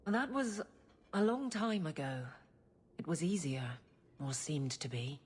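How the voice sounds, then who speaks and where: An actress playing a stern, awkward, lovesick guard captain in a video game, blunt and earnest, with a husky voice. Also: female voice, deep voice, big pitch swings, unhurried, exaggerated voice. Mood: anxious, confiding. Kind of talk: video game character acting.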